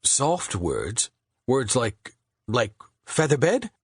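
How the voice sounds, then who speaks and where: A man voicing a nervous London butcher with a Southwark accent, who answers in short, blunt words in a husky voice. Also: medium pitch, wildly swooping pitch, extremely slow, no echo, clean studio sound, pitched above the performer's own voice, exaggerated voice. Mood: unsure. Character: plain, nervous London butcher courting a wife.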